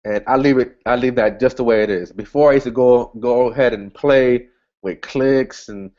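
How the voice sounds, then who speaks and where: A man's voice: medium pitch, a little mellow, lo-fi sound. A man casually walking through an online ad setup, thinking aloud as he clicks, with frequent restarts and upbeat asides. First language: English. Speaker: online marketing instructor. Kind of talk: casual screen-share tutorial.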